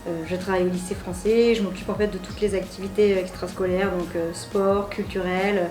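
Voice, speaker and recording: A woman's voice: medium pitch, fairly even intonation, a little fast. A woman from Normandy working at a school abroad, answering an interview with many hesitations. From Normandy, France. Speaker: school activities coordinator. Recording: roomy, distant, music over it.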